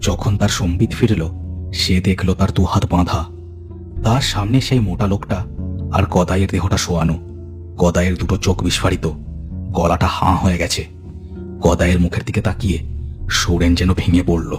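A male storyteller with a deep, bass-leaning voice and a Bengali accent. He delivers scripted horror narration dramatically and with measured suspense, while music plays clearly behind him. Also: flat delivery.

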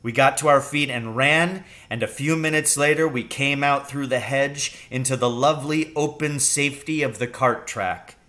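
A middle-aged man reading a children's novel aloud in a measured, suspenseful storytelling manner. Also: medium pitch, pushed and bright. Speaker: storybook reader. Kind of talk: children's novel read aloud, first-person narration. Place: room at home with wooden ceiling.

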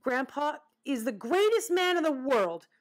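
Woman as a blunt, high-voiced storybook boy. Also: expressive, normal speed. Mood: defensive. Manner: blunt, argumentative. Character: young boy, blunt, skeptical, protective of his grandfather. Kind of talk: children's storybook character voice.

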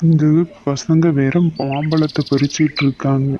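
A man's voice: a little high, level tone, unhurried, dull audio, heavy background noise, lightly acted. A man voicing a tired, hungry woodcutter who muses to himself in a cartoon. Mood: weary. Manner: musing to himself, weary. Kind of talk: cartoon voice acting.

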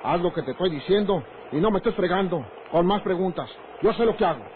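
An actor playing a bossy, short-tempered ranch man with a gruff rustic Mexican manner in an old radio drama. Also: sits high, lively intonation, fast talker. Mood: irritated, threatening. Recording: noisy, tinny old radio recording.

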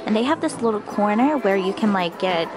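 A young woman with a hoarse voice, vlogging with a handheld camera as she browses toy aisles inside a store and gushes over what she finds. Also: mid-range voice, fairly quick, poor audio. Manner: excitable, gasping, gushing over finds. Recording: music playing underneath.

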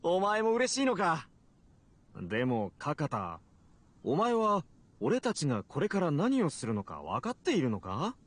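A man's voice: fairly high pitch, huge pitch swings, measured pace, very noisy. A man voicing an ambitious, obsessive young researcher in an anime game, speaking in a theatrical, grandiose way. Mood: fond, musing. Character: ambitious, obsessive male researcher talking to his robot.

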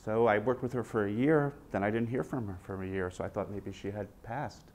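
A middle-aged man, a physician on a panel, telling patient stories in a measured way and livening up when he quotes patients, recorded on a lapel mic in a conference room. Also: on the low side. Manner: measured, anecdotal, lively when quoting patients. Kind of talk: storytelling in a panel interview. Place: conference room with a long table.

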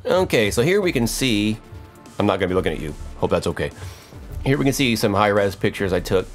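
A middle-aged American man with a fairly low voice talks through a hands-on how-to. He is casual and unscripted, with dry, self-mocking jokes along the way. Music plays behind him. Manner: wry, joking, self-mocking. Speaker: electronics hobbyist, video host. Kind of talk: casual off-the-cuff how-to commentary.

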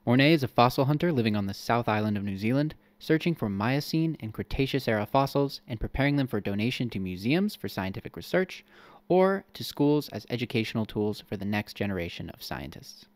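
A man's voice: medium pitch, expressive, brisk, no echo. A young man hosting a podcast, reading his intro and asking questions in a polite, self-deprecating, slightly hesitant way. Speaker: podcast host. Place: home room. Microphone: studio mic on a boom arm.

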